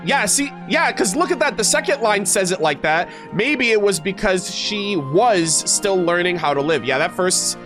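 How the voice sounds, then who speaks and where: Male gaming streamer, high-pitched, informal and slangy, reading and reacting over clear background music. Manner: informal, slangy, animated asides. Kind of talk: casual reaction commentary mixed with reading aloud. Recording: music playing behind the voice.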